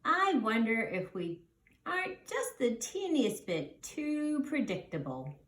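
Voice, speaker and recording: A woman voicing an excitable, doting housewife from a storybook in an expressive way. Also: fairly high pitch, slow pace, exaggerated voice. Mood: wistful. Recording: roomy, echoing sound.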